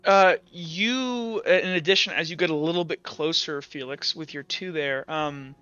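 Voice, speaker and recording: A man running a tabletop roleplay as game master, narrating in a halting, improvised, descriptive way. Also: a little high, pushed and bright, clean tone, dry recording, dull audio, soft background music.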